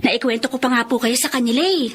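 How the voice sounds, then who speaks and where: A woman's voice: fairly high pitch, fast, husky. A woman playing a sweet, eager young student daughter, full of gratitude, in a Filipino radio drama with a Filipino accent. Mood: cheerful, respectful.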